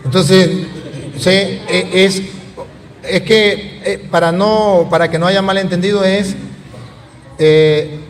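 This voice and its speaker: A man's voice: high voice, fairly even intonation, slow pace, pauses often. A man with a frank, plain-spoken way, informally moderating a religious debate before an audience. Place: large hall with an audience. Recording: noisy echoing hall.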